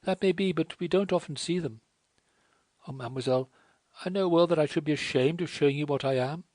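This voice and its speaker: A man voicing a tearful young French woman who pleads in a rapid, sighing way. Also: on the high side, darkish tone, no room sound, voice pushed up, close to an everyday voice. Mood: pleading.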